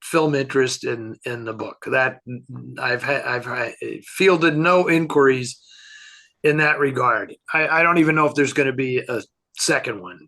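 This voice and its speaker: A man chatting casually as a film expert answering questions, halting and thinking aloud as he offers his opinions. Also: mid-range voice, pushed and bright.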